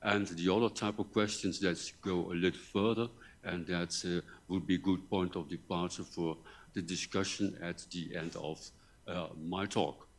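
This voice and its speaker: An older man with a soft voice, giving a lecture in a careful, halting way with repeated words and pauses. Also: low voice, fairly even intonation. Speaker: visiting academic lecturer. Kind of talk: conference lecture in English. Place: university lecture hall. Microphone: table microphone, seated.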